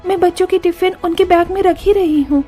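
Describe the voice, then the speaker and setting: A woman voicing busy, dutiful young daughters-in-law in a cartoon story, talking briskly and practically. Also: very high-pitched, fairly even intonation, warm tone, pitched above the performer's own voice. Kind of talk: Hindi cartoon story dialogue.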